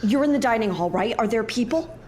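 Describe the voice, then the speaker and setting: A woman's voice: on the high side, expressive, fairly quick, mellow, lightly acted. A woman acting in an audio drama as a sardonic, anxious paranormal investigator. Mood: uneasy.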